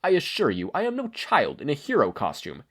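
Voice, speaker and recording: Man as a high, furious, righteous boy hero. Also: wildly swooping pitch, fairly quick, fairly bright tone, heavily acted. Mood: righteous anger. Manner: stiff, formal, declamatory.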